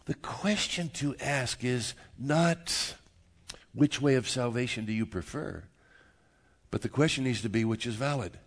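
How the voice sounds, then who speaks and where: A man's voice: mid-range voice, unhurried. An older or middle-aged man preaching a sermon as a pastor, speaking emphatically with rhetorical questions and repeated phrases. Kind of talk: sermon to a congregation.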